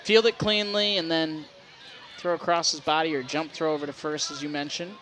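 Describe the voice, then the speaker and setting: A man's voice: a little high, steady tone, normal speed, a bit bright, a little hiss or hum, low-quality recording. A man giving conversational analysis as a co-commentator on a baseball broadcast. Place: sports broadcast at a baseball game.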